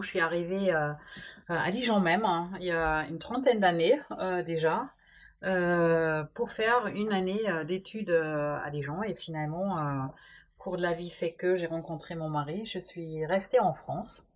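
A German-born woman in her fifties with French as a second language, talking about her career in an interview, full of pauses and fillers. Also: fairly low pitch. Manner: hesitant, many fillers. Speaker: guest executive. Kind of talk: podcast interview.

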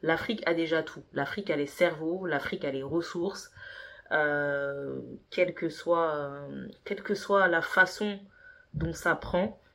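A woman's voice: low-pitched, measured pace, a bit bright. Woman in her 30s, yoruba first language, lots of ums, earnest podcast guest. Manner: hesitant, many fillers, earnest. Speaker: podcast guest, business consultant. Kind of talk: conversational interview answers.

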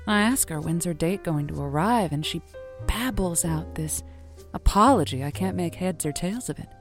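A late-night radio agony aunt, wry and theatrical, with a lowish voice over music. She spins her stories playfully for her listeners, as in an audio drama. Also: lively intonation, moderate pace. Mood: wry storytelling. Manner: playful, wry, storytelling delivery. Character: wry, theatrical woman hosting a late-night lonely-hearts radio show. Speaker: radio call-in host. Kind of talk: semi-improvised audio drama monologue.

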